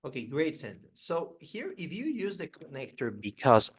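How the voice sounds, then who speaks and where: A man's voice: a little high, big pitch swings, moderate pace, a bit bright, breathy edge, no echo. A male English teacher giving a classroom grammar lesson, often checking in with "okay?" and restarting phrases.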